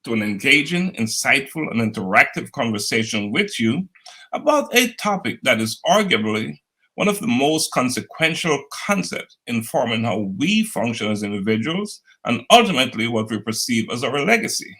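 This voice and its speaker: A man hosting an interview show, speaking in a formal, polished, measured way. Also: medium pitch, very animated, pushed and bright. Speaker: host. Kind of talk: scripted interview introduction.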